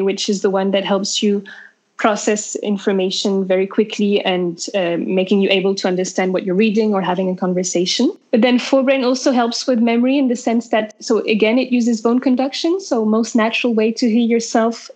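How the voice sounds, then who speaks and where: French-accented woman explaining a product, mid pitch, lots of uh and um. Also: darkish tone. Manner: explanatory, lists points with fillers. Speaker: product representative. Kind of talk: interview answers.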